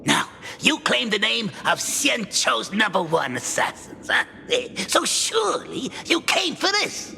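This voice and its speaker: A man's voice: high voice, huge pitch swings, a little slow, gravelly, sharp tone, in a large hall, soft background music, heavily acted. An actor playing a flamboyant, scheming male gang boss in a game, laughing and theatrical. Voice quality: theatrical, laughing, sing-song. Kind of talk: game voice acting.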